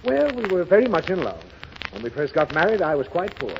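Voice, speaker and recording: Exasperated father, blustering, impatient, very animated pitch, 1930s radio comedy, noisy muffled vintage broadcast. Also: fairly quick, gravelly, heavily acted. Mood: explaining, self-satisfied. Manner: flustered, impatient scolding.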